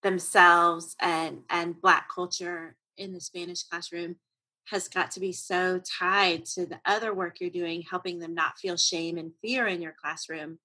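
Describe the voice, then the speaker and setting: A woman's voice: on the low side, steady tone, a little slow, sharp tone, rough recording. A woman hosting an interview, engaged and thoughtful, summing up her guest's points. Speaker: podcast host and interviewer. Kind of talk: conversational interview.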